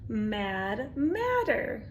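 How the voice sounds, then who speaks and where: A woman's voice: on the high side, sing-song, extremely slow, a little hiss or hum. A woman reading a picture book aloud to young children, expressively, with exclamations, asides and questions to her listeners. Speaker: storytime reader.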